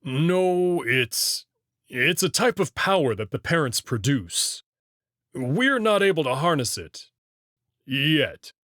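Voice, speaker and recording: Man acting a guarded, slow-spoken wolf prince. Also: a little high, sing-song, fairly bright tone, no room sound, clean studio sound, exaggerated voice. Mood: defensive, regretful. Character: guarded, proud young male wolf alien prince. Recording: clear music behind the voice.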